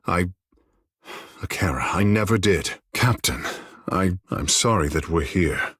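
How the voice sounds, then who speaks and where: Picture a flamboyant, charming young demigod of the sea islands, vain and courtly in a fantasy video game. His phrasing is lofty and theatrical, rising and falling widely. The voice has a slightly husky, breathy grain and sits very close and dry. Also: sits low, lively intonation, measured pace, fairly bright tone, no echo, exaggerated voice. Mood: grave, sorrowful. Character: flamboyant, vain, charming godlike islander man, water shaper. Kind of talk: video game character dialogue.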